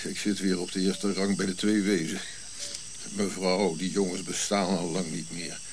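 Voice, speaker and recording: A man acts out an old prince in a radio play, grumpy and sardonic, in a low voice. He talks curtly with dry irony over clear hiss and insect-like night noise. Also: little pitch movement, normal speed, natural voice. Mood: exasperated. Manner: curt, irritable, dry irony. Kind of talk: radio drama acting.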